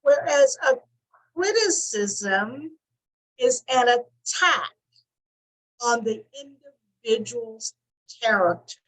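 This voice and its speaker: A woman counselor on a talk show, explaining points in measured, listed steps. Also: a little high, lively intonation, fairly bright tone, rough recording. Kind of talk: teaching talk show discussion.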